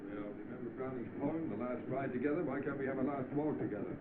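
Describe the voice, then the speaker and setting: A man playing an earnest, lovelorn gentleman in a 1930s film style, pleading and exclaiming. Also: medium pitch, quick pace, close to an everyday voice. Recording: noisy, tinny, echoey old film soundtrack.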